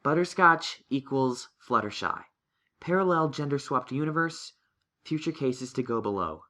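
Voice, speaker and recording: A man's voice: mid-range voice, animated, a little slow, pushed and bright, pitched above the performer's own voice. A man voicing a studious, earnest young male unicorn pony in a fan-fiction audio story, speaking in an emphatic, analytical way. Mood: wary, thoughtful.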